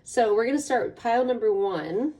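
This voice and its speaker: A woman hosting a casual tarot card reading in an informal, playful way, her voice slightly raspy as she gets over a cold. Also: on the high side, animated, brisk, dark tone, far from the mic. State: getting over a cold or allergies. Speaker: tarot reader hosting a pick a card channel.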